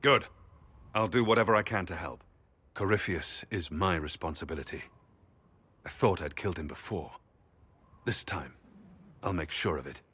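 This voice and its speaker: An actor playing a world-weary, determined champion warrior in a video game, speaking gravely. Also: male voice, deep voice, moderate pace, long pauses, a bit bright. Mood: grave, resolute.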